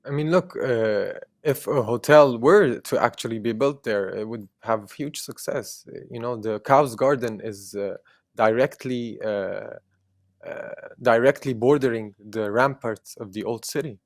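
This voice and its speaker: A man's voice: medium pitch, a little slow, no room sound, clean studio sound. A man from Jerusalem whose first language is Armenian, speaking earnestly as an interview guest, with many fillers and restarts. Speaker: activist guest.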